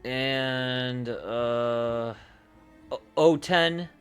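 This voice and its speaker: A man talks casually on a livestream, thinking out loud at a slow pace. His voice is clear and smooth, with halting phrases that often trail off. Faint music plays behind him. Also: medium pitch. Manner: halting, trailing off mid-thought. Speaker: streamer.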